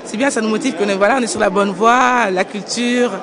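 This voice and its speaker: A woman's voice: medium pitch, fairly even intonation, brisk, background noise. A young woman from Belgium, co-founder of a language school, answering interview questions in an earnest, articulate way. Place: indoor book fair hall. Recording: busy crowd chatter behind.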